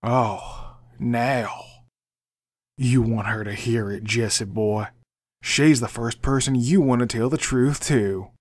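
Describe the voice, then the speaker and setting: An amateur actor playing a bitter, brooding young man in an audio drama, with a sardonic, deliberate way of talking. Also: mid-range voice, fairly even intonation, darkish tone. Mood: bitter, taunting. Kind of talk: fan audio drama acting.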